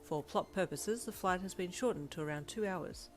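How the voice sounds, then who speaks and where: An earnest, devoted young man in an audio story , acted by a woman, speaking in a low voice. His manner is formal, precise and polite, over soft music. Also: moderate pace, natural voice.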